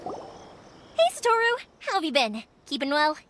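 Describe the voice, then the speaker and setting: An actress voicing a cheerful, energetic young woman who runs a family fireworks business. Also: sits high, unhurried, slight background noise, exaggerated voice. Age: young adult. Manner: bright, energetic and friendly.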